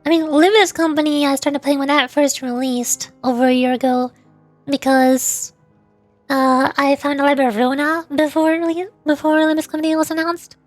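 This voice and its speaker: A high-pitched woman talking casually on a livestream, rambling from one thought to the next and replying to her viewers as she goes. Faint music plays quietly behind her voice. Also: steady tone, normal speed, no room sound. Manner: rambling, chatty, answers viewers' questions. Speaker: streamer.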